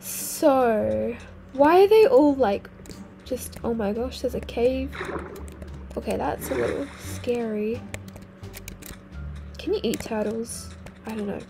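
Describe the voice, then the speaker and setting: A teenage girl with a slightly croaky, sick-sounding voice, chatting casually over her gameplay and exclaiming at things she finds. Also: on the high side, animated, slow, long pauses. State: croaky voice from a cold. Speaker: gaming video host. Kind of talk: casual game commentary. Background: faint game music.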